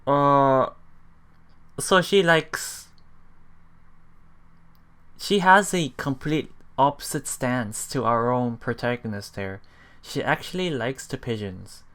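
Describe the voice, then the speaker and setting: A man's voice: mid-range voice, slow pace, pauses often, a bit bright, clean tone. A man hosting a let's play, reading a visual novel aloud and adding casual reactions, sometimes repeating himself. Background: game music.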